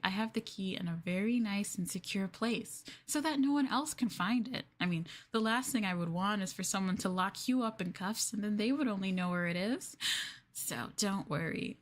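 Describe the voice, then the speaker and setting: A woman voicing a sweetly possessive, obsessive young girlfriend in an ASMR roleplay, coaxing and cooing between soft speech and whispers. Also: medium pitch, close to an everyday voice. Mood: soothing, sly. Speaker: ENVtuber streamer performing ASMR roleplay.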